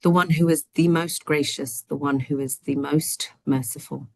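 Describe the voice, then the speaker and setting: A woman with a deep, low voice hosts an online study session from home over a video call, gentle and chatty with plenty of fillers. Her voice is a little croaky, and the sound is dry and close. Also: fairly even intonation, normal speed, no room sound. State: slightly croaky voice. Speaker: host.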